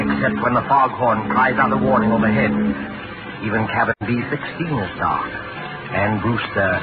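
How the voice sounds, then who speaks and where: Brooding old-radio male narrator. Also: mid-range voice. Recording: lo-fi old radio broadcast under music.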